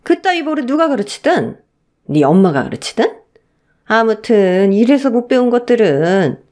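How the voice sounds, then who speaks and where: A woman's voice: on the high side, sing-song, moderate pace, a little mellow. A woman voicing a spiteful older mother-in-law who scolds and sneers in a radio story drama. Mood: sneering scolding. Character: spiteful, abusive older mother-in-law berating her daughter-in-law.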